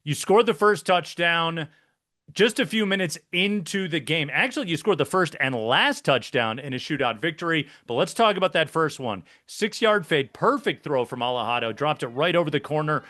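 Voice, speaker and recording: Bright, polished sports host, man. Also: a little high, lively intonation, normal speed. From mainland United States. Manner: upbeat, polished broadcaster delivery. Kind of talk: interview hosting.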